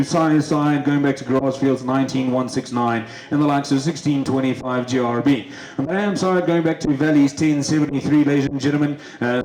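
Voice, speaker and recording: A South African man calls a livestock sale in a fast, rhythmic chant that hardly rises or falls in tone. His voice sits a little high for a man, and the echo makes the room sound large. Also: darkish tone. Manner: rapid rhythmic chanting, switching between English and Afrikaans. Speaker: livestock auctioneer. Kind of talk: auction bid chant and lot commentary.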